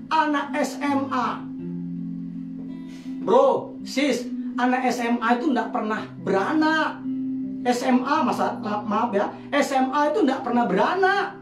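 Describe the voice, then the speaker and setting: A woman from Medan preaching a sermon, firing rhetorical questions and calling for amen responses. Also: sits high. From Medan, Indonesia. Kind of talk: sermon teaching. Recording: echoey room, distant mic, music behind. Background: guitar music.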